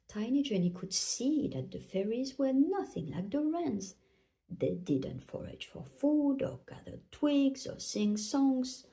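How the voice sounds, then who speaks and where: A woman reads a children's picture book aloud. Her voice is soft-edged and mellow at a medium pitch, and she takes her time, telling the story with expressive delivery.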